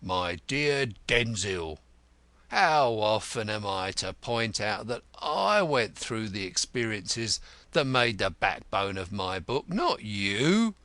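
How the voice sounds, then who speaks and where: A man's voice: fairly low pitch, big pitch swings, slow, bright, forceful tone, no echo, exaggerated voice. A man voicing a blunt, sardonic retired male detective in an audiobook, with a growling, mocking manner. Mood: amused, mocking. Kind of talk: audiobook character voice.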